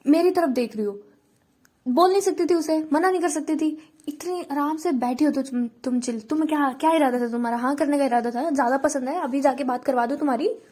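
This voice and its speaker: A woman voicing a short-tempered young woman who scolds her friend loudly. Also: high voice, brisk, voice pushed up, lightly acted. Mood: furious. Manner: shouting, scolding.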